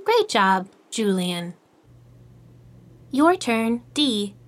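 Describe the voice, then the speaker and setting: A woman's voice: fairly high pitch, sing-song, drawn-out words, faint music behind, full character voice. A woman playing a cheerful artificial intelligence matchmaking host in an audio drama, speaking in scripted, upbeat stock phrases. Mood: cheerful, polite.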